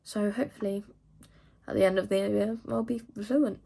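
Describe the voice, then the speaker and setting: A woman's voice: medium pitch, fairly even intonation, normal speed, gravelly, dark tone, breathy edge. A teenage woman from England chatting casually to camera, rambling with lots of fillers as she answers questions. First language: English. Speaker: vlogger.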